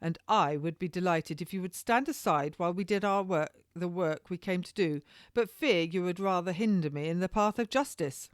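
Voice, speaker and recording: A woman voicing a menacing, mocking gentleman official in a historical novel, speaking in formal, accusatory, archaic phrases. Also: on the low side, moderate pace, hardly any pauses, no echo, clean studio sound, close to an everyday voice. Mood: scornful.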